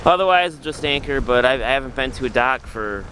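A middle-aged man filming himself on a sailboat and a marina dock, talking in a relaxed, rambling way as he thinks aloud. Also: medium pitch, brisk, rough recording. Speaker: solo sailor vlogger. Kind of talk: casual self-filmed vlog. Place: outdoors on a sailboat and a marina dock in strong wind. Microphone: handheld camera close to the face. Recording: wind noise on a camera mic. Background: howling wind and water.

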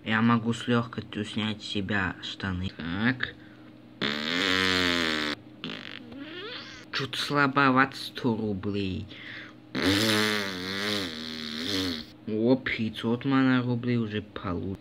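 A man's voice: fairly low pitch, unhurried, few pauses, close to an everyday voice. A man voicing a boastful, goofy toy figure, peppering an improvised amateur cartoon with a repeated slang filler word. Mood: showing off. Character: boastful goofy Tajik toy guy with magic powers. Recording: noisy amateur home recording.

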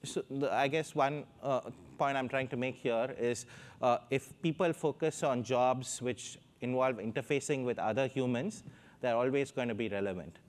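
A man's voice: mid-range voice, normal speed. A man with an Indian accent, speaking as a panelist on a stage through a lapel mic, hesitant with many fillers. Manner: hesitant, many fillers, gestures while talking. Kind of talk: panel discussion. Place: stage in front of a backdrop.

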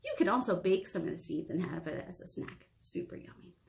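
A woman in her thirties hosting a children's storytime, speaking in an animated, encouraging way and asking young viewers questions. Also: fairly low pitch, vocal fry. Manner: animated, encouraging, asks questions and pauses for answers. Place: small indoor meeting room.

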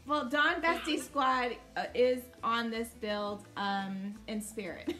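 A woman's voice: on the high side, fairly even intonation, slow, pushed and bright. A woman giving casual, encouraging commentary as host of a game build tour.

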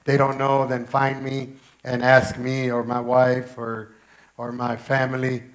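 A man in his forties, a pastor, speaking earnestly to his congregation with a raspy, strained voice, often repeating phrases for emphasis. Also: medium pitch, completely flat delivery, unhurried. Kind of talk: church announcements and sermon. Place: church stage with pink-lit wall. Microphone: headset mic.

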